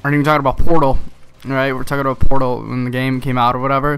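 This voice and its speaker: Teen male YouTuber, casual rambling storyteller, smooth clear voice, mid pitch, exasperated asides. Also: level tone, rapid-fire, a bit bright. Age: teenager. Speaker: video game YouTuber.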